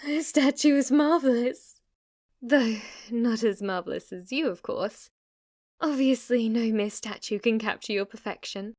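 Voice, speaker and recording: A woman plays an obsessively devoted female demon maid in an ASMR roleplay. She speaks slowly in a fairly high voice. Her manner is formal and deferential, full of submissive adoration for her master. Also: a little mellow. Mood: rapturous worship. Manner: formal, deferential, breathless adoration, sometimes whispering.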